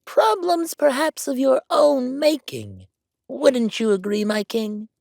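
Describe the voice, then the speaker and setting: A high, theatrical voice for a smug, calculating count in an audio drama. The delivery swoops widely and takes its time, savoring each taunt with grand self-assurance. The recording is close and dry. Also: male voice, big pitch swings, takes it slowly, vocal fry, darkish tone, no room sound, full character voice. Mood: mocking. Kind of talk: villain audio roleplay.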